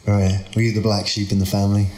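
A man hosting a podcast interview around a table, relaxed and curious, asking follow-up questions. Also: lowish voice, quick pace. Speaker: podcast host. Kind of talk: conversational interview. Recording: roomy echo.

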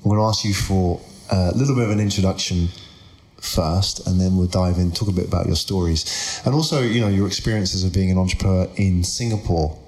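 A man hosting a podcast interview around a table, relaxed and curious, asking follow-up questions. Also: sits low, fairly quick. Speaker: podcast host. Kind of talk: conversational interview. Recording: roomy echo.

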